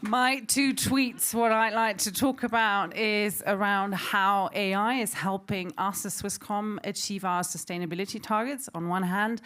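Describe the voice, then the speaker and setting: Woman exec on a panel, average pitch, introducing herself informally. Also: steady tone, a little slow, hardly any pauses, fairly bright tone. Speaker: telecom executive on a panel.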